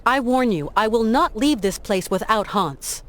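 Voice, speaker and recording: Plucky, expressive young heroine. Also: female voice, a little high, a little slow. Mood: firm, defiant. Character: determined, caring young woman adventurer, plucky and defiant. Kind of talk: adventure game dialogue.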